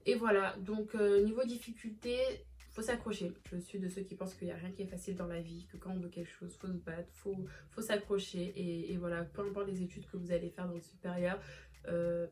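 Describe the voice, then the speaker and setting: A woman's voice: lowish voice, brisk, a little hiss or hum. Young woman vlogger, Marseille accent, chatty and slangy, casual storytime. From Marseille, southern France. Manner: chatty and expressive, slangy, with many fillers.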